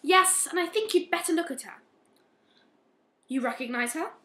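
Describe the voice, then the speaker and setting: Woman playing a stern inspector, bright, high voice. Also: lively intonation, a little hiss or hum. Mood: stern, probing. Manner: probing, measured, morally accusing. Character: stern, relentless police inspector questioning a family. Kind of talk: amateur radio play acting. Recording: roomy, echoing amateur recording.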